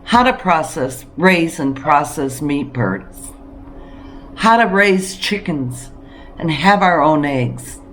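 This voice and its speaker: Slow-talking woman, noisy home recording. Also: low voice, animated. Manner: encouraging, emphatic with deliberate pauses. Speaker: homesteading vlogger. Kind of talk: casual preparedness talk to camera.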